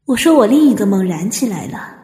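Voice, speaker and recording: An actress playing a composed, ambitious young hotel cook in a Mandarin radio drama, measured and coolly cynical. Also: female voice, mid-range voice, lively intonation, mellow, husky. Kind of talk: Mandarin audio drama acting with narration.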